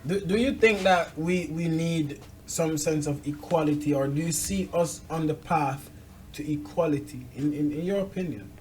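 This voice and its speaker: A woman's voice: low voice, normal speed, darkish tone. A woman hosting a casual, bantering interview. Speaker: host. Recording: distant mic with background noise.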